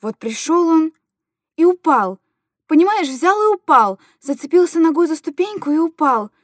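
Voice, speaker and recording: A woman's voice: high-pitched, sing-song, normal speed, no room sound, studio quality. A woman playing an anguished, tender mother who coos simple, repetitive stories to her little boy in a dramatic monologue. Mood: tender, playful. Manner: cooing, repetitive, childlike storytelling to a small child. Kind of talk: dramatic literary monologue reading.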